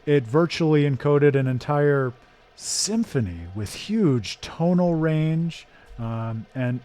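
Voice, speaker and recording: A hoarse man hosting a live game stream, talking through his thoughts in a thoughtful, digressive way with frequent restarts. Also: lowish voice, warm tone. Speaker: streamer and host.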